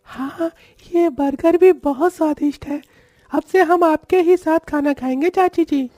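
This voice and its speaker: A woman's voice: high-pitched, a little slow, dark tone, dry recording. A woman voicing an earnest little child in a family moral story. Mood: eager. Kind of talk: acted moral story dialogue.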